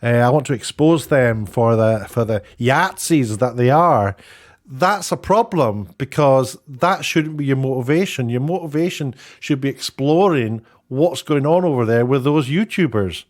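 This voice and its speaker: A man's voice: mid-range voice, expressive. A man giving unscripted opinion commentary as an online host, rambling and often correcting himself as he goes. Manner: halting, rambling, self-correcting.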